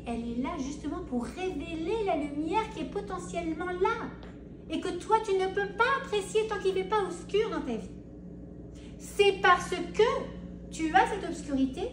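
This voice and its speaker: A middle-aged woman giving a spoken Torah class to women, animated, acting out a listener's pleas in little voices. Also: very high-pitched. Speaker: Torah teacher. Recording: roomy, distant mic with hiss.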